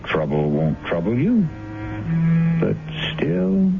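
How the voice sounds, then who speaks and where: A knowing, theatrical male narrator tells a 1970s radio mystery. He is gravelly and unhurried, with wide swings of wry emphasis. The old broadcast is dull, with music behind him. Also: on the low side, wildly swooping pitch, exaggerated voice. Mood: ominous, wry. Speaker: host and narrator.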